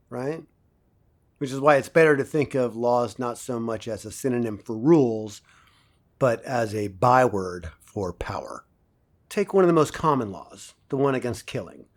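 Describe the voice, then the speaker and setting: A man playing an assured professor from a dystopian future, giving a measured, didactic classroom lecture with dry wit in an audio drama. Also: mid-range voice, darkish tone. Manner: didactic, measured, dryly wry.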